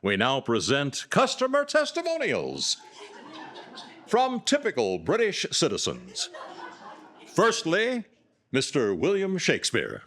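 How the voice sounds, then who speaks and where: A man's voice: fairly high pitch, wildly swooping pitch, takes it slowly, pauses often, fairly bright tone. A man reading a scripted live radio sponsor announcement as the announcer.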